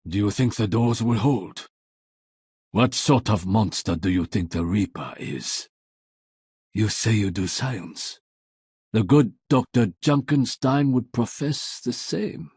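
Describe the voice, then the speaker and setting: A stern, honourable warrior in a gothic video game. His husky voice moves slowly, with terse, formal phrasing and a wide, dramatic swing in pitch. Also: lively intonation, darkish tone, dry recording, studio quality, full character voice. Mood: solemn, musing. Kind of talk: video game character voice acting.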